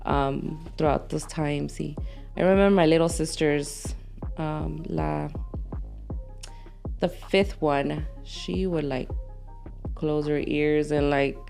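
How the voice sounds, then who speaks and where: Female interview guest, gravelly low register, candid conversational delivery, code-switches between English and Spanish. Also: steady tone, slow pace, long pauses, darkish tone, soft background music. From Los Angeles, United States. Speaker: podcast guest. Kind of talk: candid conversational interview, telling life story.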